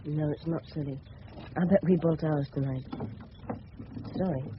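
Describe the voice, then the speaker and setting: An actor playing a polite, level-headed English schoolboy in a radio play, earnest and well-spoken. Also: male voice, fairly high pitch, normal speed, close to an everyday voice. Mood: calm, caring. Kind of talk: 1970s radio play acting. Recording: muffled, hissy old radio broadcast.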